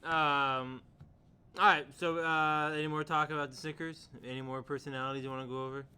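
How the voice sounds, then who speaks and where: A man's voice: a little high, flat delivery, brisk, bright, forceful tone. A man chatting casually with his co-hosts, often hesitating and trailing off into fillers. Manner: chatty, hesitant, laughing asides. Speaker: show co-host. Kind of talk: casual banter among co-hosts.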